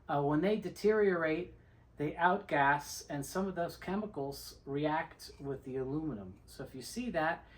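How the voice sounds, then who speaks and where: Plain-spoken man giving a how-to demonstration. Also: fairly high pitch. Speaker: presenter of a how-to video on restoring tape reels. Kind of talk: informal instructional demonstration.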